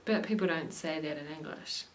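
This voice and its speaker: A young woman hosting an English lesson show and reading the news in a clear, steady way. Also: deep voice, moderate pace, a little hiss or hum. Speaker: English teacher and show host.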